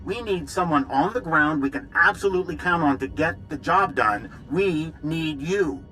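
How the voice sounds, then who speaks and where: An actor playing a grave, urgent military intelligence director in a video game, briefing in a clipped, earnest way. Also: male voice, a little high, animated, moderate pace, bright, forceful tone, poor audio. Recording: dramatic music underneath.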